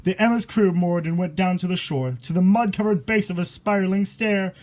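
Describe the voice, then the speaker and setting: Guy w a high voice reading creepy rhymes out loud, dramatic, sweary, kinda stumbles on words. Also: a little fast. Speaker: amateur storyteller and host. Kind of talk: reading a rhyming horror story aloud with casual asides.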